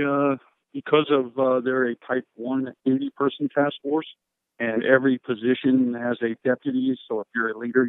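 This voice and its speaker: A man with an even, unanimated delivery briefs reporters as a rescue official. He speaks in measured procedural terms and operational jargon, over a dry, narrow, phone-like line. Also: medium pitch, no echo. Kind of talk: conference call briefing, question and answer.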